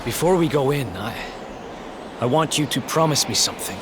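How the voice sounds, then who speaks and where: Man acting young male game adventurer, earnest, guilt-laden, confiding, lively pitch movement, noise behind. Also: brisk, lightly acted. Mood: earnest, sombre.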